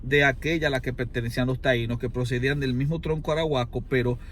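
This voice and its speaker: A man's voice: medium pitch, steady tone, quick pace, fairly bright tone. A Dominican man with a mid-range voice lays out an argument in conversation. His wording is formal and erudite, his delivery oratorical, leaning on emphatic repetition. There is noticeable noise in the recording. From Dominican Republic. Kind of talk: extended argumentative explanation in conversation.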